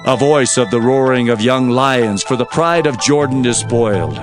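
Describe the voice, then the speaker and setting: An actor playing a fervent biblical prophet, declaiming solemn oracles in a dramatized scripture reading. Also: male voice, mid-range voice, moderate pace, natural voice. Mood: lamenting, urgent. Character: fervent male Old Testament prophet proclaiming oracles. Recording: music behind the voice.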